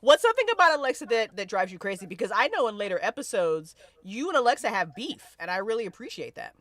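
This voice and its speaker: A woman leads a casual panel discussion in a bright, forward voice. Her pitch swings widely as she makes slangy, emphatic points. The sound is dry and close, with no room around it. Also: sing-song, quick pace, dry recording. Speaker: host leading a fan discussion panel. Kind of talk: casual panel chat about a fiction podcast.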